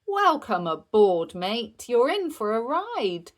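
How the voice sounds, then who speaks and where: A small, cheery storybook pup plays at being train driver, as a woman reader acts it. The voice is lifted and lively, making bossy, matey announcements. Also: on the high side, slow pace, voice pushed up. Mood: welcoming.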